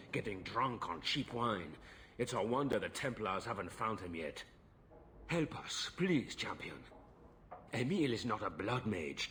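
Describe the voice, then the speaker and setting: A man's voice: fairly low pitch, fairly bright tone, slight background noise. An actor playing an anxious, exasperated middle-aged nobleman and father in a video game, formal and earnest. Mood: pleading.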